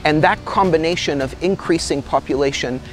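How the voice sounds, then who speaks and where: A middle-aged man from Canada, a company executive, speaking in a measured and articulate way in a corporate interview outdoors in a garden. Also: on the high side, soft background music. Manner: measured, articulate, gestures while talking.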